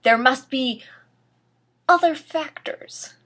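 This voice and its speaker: A woman voicing an adult male wizard teacher in a story reading, speaking in a crisp, clipped, dramatic way. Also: high voice, takes it slowly, fairly bright tone, pitched above the performer's own voice, heavily acted. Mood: crisp disbelief.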